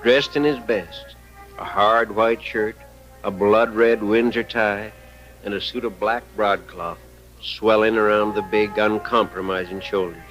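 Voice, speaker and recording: An American actor as the grave narrator of an old radio western, deliberate and dramatic. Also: male voice, fairly low pitch, steady tone, a bit bright, poor audio. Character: grave storyteller of a western tale. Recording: old radio recording with music.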